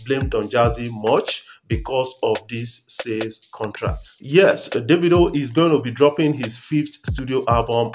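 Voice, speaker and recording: A man in his thirties with a Nigerian accent, giving informal entertainment news commentary to camera as a vlogger. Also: medium pitch. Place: small room at home, indoors.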